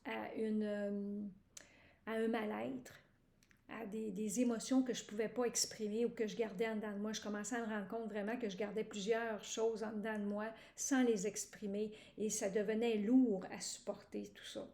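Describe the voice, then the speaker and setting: A woman's voice: medium pitch, level tone, moderate pace, vocal fry, mellow, reverberant. A woman from Quebec with a Québécois accent, giving a hesitant personal testimonial with many fillers and restarts. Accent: Québécois French. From Quebec, Canada. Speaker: program participant giving a testimonial.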